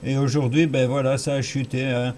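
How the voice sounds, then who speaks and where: A light hiss of radio noise surrounds a man of average pitch. He speaks as an amateur operator in casual contact, plain and matter-of-fact, often saying a phrase twice. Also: flat delivery, normal speed, a little mellow. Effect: two-way radio. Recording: slightly noisy radio transmission.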